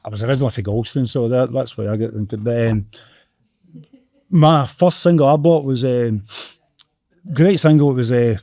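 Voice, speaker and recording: A man's voice: medium pitch, moderate pace, pauses often, dark tone, no room sound, clean studio sound. A man giving a hesitant introduction. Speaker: music fan guest. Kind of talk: casual introduction.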